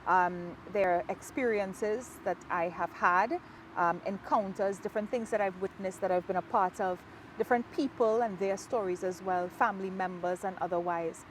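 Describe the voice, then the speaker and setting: Trinidadian woman, author, reflective and warm, mid-range voice, outdoor interview with faint traffic. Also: medium pitch, steady tone, normal speed, low-quality recording. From Trinidad and Tobago. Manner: warm, reflective, laughs easily. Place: outdoors in a park, rain falling.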